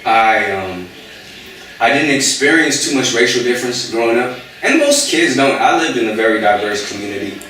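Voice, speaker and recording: A man's voice: medium pitch, big pitch swings, normal speed, sharp tone, slight background noise. A young American man talking casually in an interview, hesitant, with many fillers and restarts. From United States. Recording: echoey room, voice from far off.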